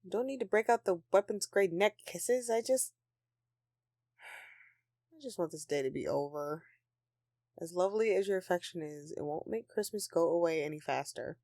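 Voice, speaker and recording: A woman's voice: lowish voice, expressive, normal speed, pauses often, a little mellow, no echo, clean studio sound. A woman playing a grumpy but affectionate Christmas elf in an intimate audio roleplay, with a sarcastic, dramatic, sighing delivery. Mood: brooding, affectionate.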